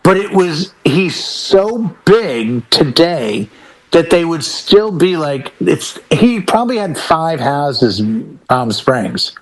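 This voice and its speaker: A man chatting casually as a panelist on a talk show, telling anecdotes in an animated way and acting out quoted dialogue. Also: on the high side, slow, rough recording.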